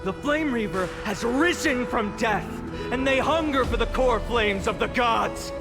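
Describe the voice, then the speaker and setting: A man's voice: very high-pitched, unhurried, music in the background. An actor voicing an earnest young male hero who gives a solemn, impassioned speech to a city's people in a game cutscene. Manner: solemn, impassioned public address.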